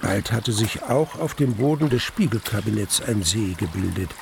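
Casting: grave, creaky-voiced narrator of a dark radio drama, deliberate and suspenseful, faint ambience behind. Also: male voice, lowish voice, expressive, noisy background. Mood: tense, awed. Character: grave male narrator recalling his mortal terror in a cellar. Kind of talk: dramatic first-person radio play narration.

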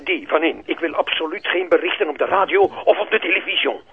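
A man's voice: on the high side, fast. Imperious old grandfather, tinny phone. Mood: impatient, demanding. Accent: Flemish, French-tinged. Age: older. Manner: demanding, peppers speech with French. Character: imperious elderly bourgeois grandfather, impatient. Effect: telephone filter. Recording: thin, tinny phone line.

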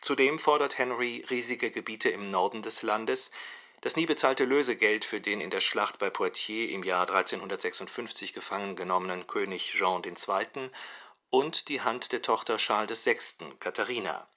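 A man's voice: on the low side, normal speed, bright, forceful tone. A man giving precise, factual scripted narration in the style of a radio documentary.

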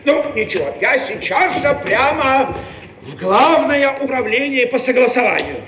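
A man's voice: very high voice, big pitch swings, measured pace, fairly bright tone, a little hiss or hum, exaggerated voice. An actor playing an eager, blustering man in a Soviet satirical radio play, effusive and given to repeating his words. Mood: agitated, blustering. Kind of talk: theatrical comic radio play acting. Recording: echoey archive radio recording with crowd.

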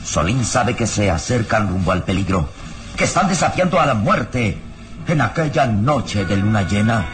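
A man's voice: fairly low pitch, sing-song, normal speed. A man narrating an old radio serial in a solemn, suspenseful, theatrical style. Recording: old radio recording, music behind.

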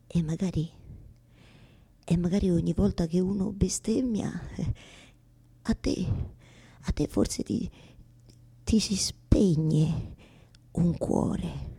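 An actress playing a bitter, self-mocking woman who argues with God in a halting, repetitive theatrical monologue. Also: on the low side, gravelly, dark tone, slightly rough, full character voice. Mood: hushed, wounded. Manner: repetitive, halting, confessional, swinging from whispers to outbursts. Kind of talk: theatrical monologue, radio drama.

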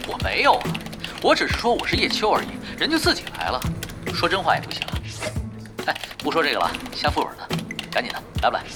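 A man's voice: fairly high pitch, wildly swooping pitch, fast. An actor playing a sly, shameless, laid-back young male gamer in a Chinese audio drama, teasing and mock-innocent. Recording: music behind the voice.

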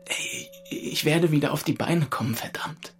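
A man acting a bitter, shaken orphaned young man of twenty-two in audiobook dialogue, with a creaky voice. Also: on the high side, moderate pace, music in the background, pitched above the performer's own voice, lightly acted. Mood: shaken, bitter relief.

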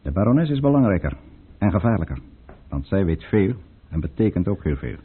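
An actor playing a cold, scheming Russian count in a Dutch radio play, theatrical and insinuating. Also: male voice, deep voice, sing-song, a little fast, slightly rough, exaggerated voice. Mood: cold, plotting. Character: scheming Russian count, commanding, cold, silky courtly conspirator. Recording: muffled, band-limited old radio recording.

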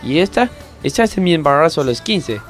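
A man's voice: a little high, very animated, a little fast, faint music behind. A man from Argentina acting a naive, literal-minded young female gymnast with an earnest manner in a parody radio play. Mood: innocent. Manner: innocent, earnest, dim.

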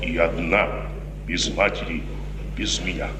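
A man's voice: low-pitched, steady tone, measured pace. An actor playing a tormented, solemn father in a 1950s Soviet radio tragedy. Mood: grave, agitated. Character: tormented, solemn father brooding on a terrible deed. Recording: distant, echoey, noisy 1950s radio recording.

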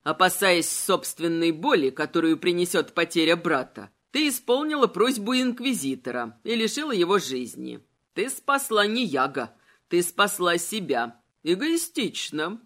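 A woman voicing a cold, manipulative male immortal villain in an audiobook, insinuating and mocking in an unhurried way. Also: lowish voice, big pitch swings, a bit bright, voice pushed down, heavily acted.

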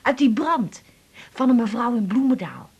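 Middle-aged mother, husky breathy voice, confiding, rambling, wounded, natural radio-play delivery. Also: fairly high pitch, expressive, moderate pace, exaggerated voice. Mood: nostalgic. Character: hurt, chatty mother back from a failed holiday. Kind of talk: naturalistic 1980s radio drama dialogue.

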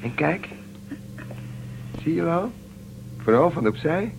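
A man's voice: a little high, expressive, takes it slowly, gravelly, a little hiss or hum, exaggerated voice, poor audio. An actor playing an affectionate, teasing male partner aboard a spaceship in a science fiction radio drama, talking informally.

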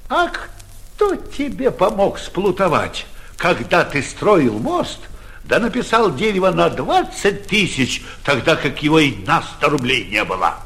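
A pompous, blustering official in an old stage-style radio drama. His voice rides high and swings widely, drawing words out slowly as he gloats and scolds with sarcasm. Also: huge pitch swings, a bit bright, full character voice. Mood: furious, scornful. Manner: pompous, drawn-out, sarcastic delivery. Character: blustering, gloating, vindictive town mayor scolding merchants. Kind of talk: theatrical stage acting, 1940s radio play.

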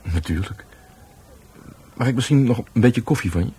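An actor playing a polite, inquisitive police detective in a radio drama, questioning tactfully in a Dutch accent. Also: male voice, mid-range voice, animated, normal speed, mellow, husky. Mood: curious, probing. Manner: probing, hesitant questioning.

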